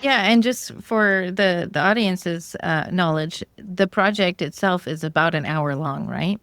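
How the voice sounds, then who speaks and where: A woman's voice: on the low side, unhurried, a bit bright, dry recording. A woman hosting a podcast interview in a casual, conversational style. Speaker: podcast host.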